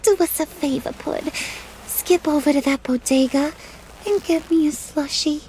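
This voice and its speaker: A woman's voice: high voice, measured pace, mellow, a little hiss or hum, poor audio. A woman playing a playful, menacing young female villain in a video game, slangy and mock-sweet in her taunts. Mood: needling. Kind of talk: video game character acting.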